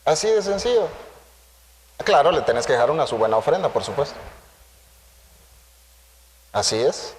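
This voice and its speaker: Central american guy preaching, kinda high voice goes up and down a lot, playful, big echoey church hall. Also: normal speed, long pauses, a little hiss or hum. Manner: animated, asks rhetorical questions, acts out imagined dialogue. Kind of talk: conversational sermon to a congregation. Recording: echoey hall with long reverb.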